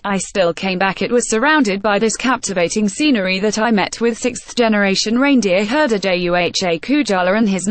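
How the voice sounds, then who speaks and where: A woman narrating a travel feature article in a steady, even reading voice with odd pauses. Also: mid-range voice, no room sound.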